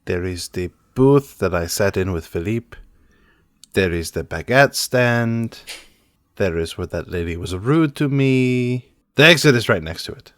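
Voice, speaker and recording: A man's voice: on the low side, lively intonation, a little slow, a bit bright, no room sound, studio quality. A man playing an earnest, naive, heartbroken young nobleman in a tabletop game, speaking in a formal, theatrical way. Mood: forlorn.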